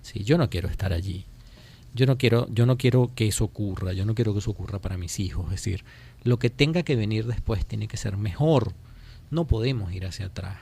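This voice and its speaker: A Venezuelan man giving political commentary as a radio interview guest, speaking emphatically and deliberately and repeating phrases to drive points home. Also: fairly low pitch, gravelly, mellow, breathy edge. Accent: Venezuelan Spanish. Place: radio studio.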